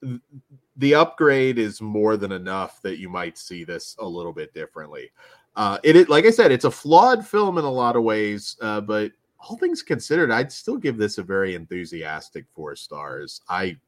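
A man's voice: fairly low pitch, very animated, moderate pace, dry recording, clean studio sound. A man co-hosting a podcast in casual chat, losing his voice, with rambling, filler-laden plugs. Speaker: podcast co-host.

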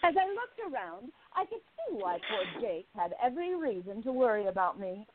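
A woman's voice: mid-range voice, sing-song, normal speed, a crowd in the background. A woman narrating and playing a relishing, gossipy society columnist in an amateur radio play. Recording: phone-like narrow sound.

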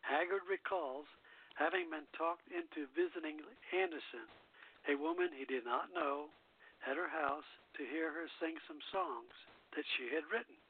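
A man's voice: a little high, moderate pace, slightly rough. A man hosting a radio show, reading a music biography aloud and stumbling over the script now and then. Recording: muffled, phone-like internet radio call-in line.

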